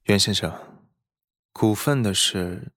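A man's voice: lowish voice, slow pace, background noise. A man playing a polite, composed executive secretary in a modern Chinese audio drama.

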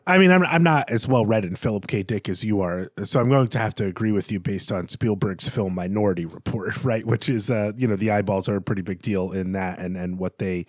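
A man's voice: lowish voice, brisk, hardly any pauses, dry recording, studio quality. A man co-hosting a podcast, discussing literature in a conversational, analytical way with some hesitant phrasing. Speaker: podcast co-host.

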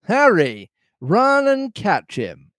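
A man voicing a fruity, theatrical, commanding pirate innkeeper with drawn-out, suggestive delivery in a storybook reading. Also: sits high, wildly swooping pitch, fairly bright tone, no room sound, studio quality, voice pushed up, heavily acted. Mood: indignant, demanding. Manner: drawn out, innuendo-laden delivery.

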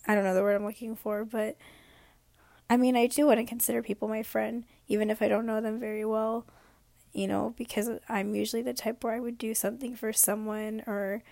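A sick young woman who is losing her voice talks in a rambling, reflective way in a late-night personal vlog. She is hoarse and sometimes drops into a whisper. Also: mid-range voice, a little mellow, no echo. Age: young adult. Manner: rambling, reflective, many fillers.

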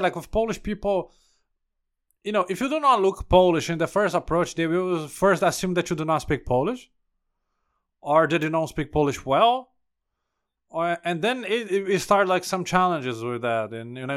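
Man, slightly high voice, chatting. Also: lively intonation, normal speed, a bit bright, clear, resonant tone. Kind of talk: casual conversation.

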